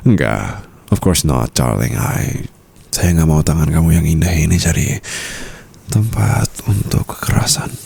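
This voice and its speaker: A man playing a possessive, doting mafia boss husband in an intimate ASMR roleplay, teasing with endearments in a breathy, gravelly voice. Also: darkish tone, a little hiss or hum, full character voice. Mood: soothing, protective. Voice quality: very deep, gravelly. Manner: slow teasing endearments, often slipping into breathy whispers. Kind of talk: ASMR boyfriend roleplay, intimate scripted monologue.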